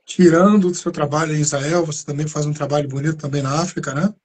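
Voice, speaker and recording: A Brazilian man hosts a live video stream, talking in a loose, rambling way and greeting viewers as he goes. His voice is slightly husky and sits a little high. It sounds like a home computer mic. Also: steady tone, quick pace, a little mellow. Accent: Brazilian Portuguese. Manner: casual, thanks viewers by name, rambling restarts. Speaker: channel host. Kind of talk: live stream interview chat.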